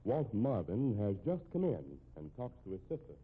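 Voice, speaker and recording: A man's voice: mid-range voice, very animated, moderate pace, breathy edge. A man working as a radio announcer in 1950s broadcast style, heard on a muffled old recording.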